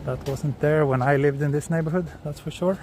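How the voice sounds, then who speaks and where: A man from Sweden, speaking English as a second language, hosts a relaxed live walking stream outdoors and chats with viewers as he goes. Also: a little high, brisk, slight background noise. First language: Swedish. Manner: relaxed, answers viewer chat while walking. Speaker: vlogger walking through a city. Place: outdoors on city streets.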